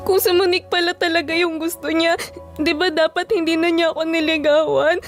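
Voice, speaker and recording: A woman's voice: very high voice, level tone, brisk, soft background music. A woman with a Filipino accent acting a heartbroken young woman in an audio drama. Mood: heartbroken, tearful. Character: heartbroken young woman betrayed by her boyfriend.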